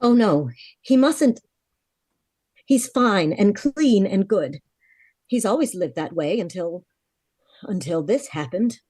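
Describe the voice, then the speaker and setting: A woman acts out a desperate, determined young heroine of an old radio serial. Her mid-pitched voice is insistent and melodramatic, with wide swings of intonation in a dry recording. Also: lively intonation, takes it slowly, long pauses, darkish tone, slightly rough, no room sound. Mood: pleading. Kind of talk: 1940s radio drama acting.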